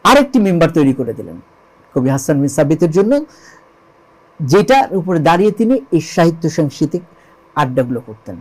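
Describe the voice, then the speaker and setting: A Bangladeshi man with a high-pitched voice explains religious history as a storyteller. He is emphatic, repeating key phrases and asking rhetorical questions as he goes. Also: sing-song, fairly quick, pauses often, darkish tone, slightly rough, rough recording. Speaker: interview guest, lyricist and composer.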